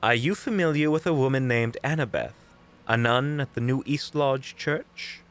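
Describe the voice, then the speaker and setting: A man playing a witty, unflappable investigator in an audio roleplay, with a coy, theatrical, mockingly polite and drawn-out delivery. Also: mid-range voice, a bit bright, smooth, voice pushed up, close to an everyday voice. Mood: polite, teasing. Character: flamboyant, unflappable, witty investigator in their prime. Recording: background music.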